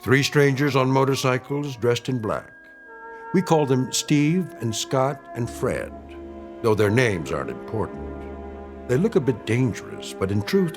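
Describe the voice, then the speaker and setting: An actor playing a measured, ominous narrator of a mystery radio drama. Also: male voice, medium pitch.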